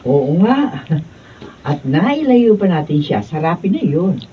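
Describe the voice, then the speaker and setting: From a little way off in a dull recording comes a middle-aged Filipina mother in a radio drama. She is protective, with very animated intonation. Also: on the low side, slow pace. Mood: agreeable. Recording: distant, dull, noisy room recording.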